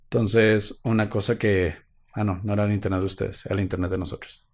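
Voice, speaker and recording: A man's voice: fairly low pitch, level tone, brisk, darkish tone. A man co-hosting a live stream, cracking wry jokes and narrating a true-crime case. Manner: wry, jokey asides, slightly dramatic in the telling. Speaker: podcast co-host.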